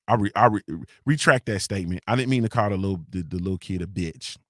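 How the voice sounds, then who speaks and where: A man's voice: deep voice, fairly quick, dry recording, studio quality. A man hosting a morning show, chatting loosely and cussing often, with slangy storytelling and constant "you feel me" tags. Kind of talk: casual live-stream chat reading comments.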